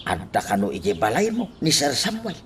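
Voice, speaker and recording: An actor playing an inquisitive, excitable village husband in an Ilocano radio drama. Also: male voice, mid-range voice, fairly quick. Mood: astonished, probing. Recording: faint background noise.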